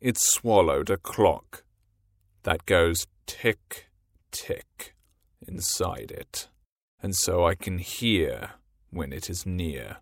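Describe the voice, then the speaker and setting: A scheming pirate captain who drags out his words in a low, brooding voice, as a man reads him aloud. He swings his pitch widely while he plots. The sound is dry and close. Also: expressive, dry recording, exaggerated voice. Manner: slow, low, brooding delivery.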